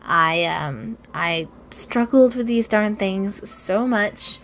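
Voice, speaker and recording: A young woman with a cold, her voice raspy and sniffly, rambling casually and self-deprecatingly over her art video with many ums and restarts. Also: medium pitch, takes it slowly, a bit bright, slight background noise. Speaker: artist narrating her speedpaint.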